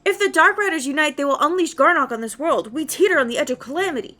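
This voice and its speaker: A woman's voice: high voice, quick pace, pushed and bright, voice pushed up. A woman voicing an earnest, alarmed young heroine in a fantasy game, urgent and dramatic.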